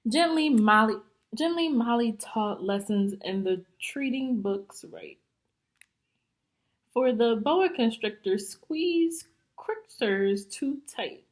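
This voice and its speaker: A woman teacher reading a picture book aloud to young students in a deliberate, instructive way, pausing to explain and ask questions. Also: on the high side, expressive.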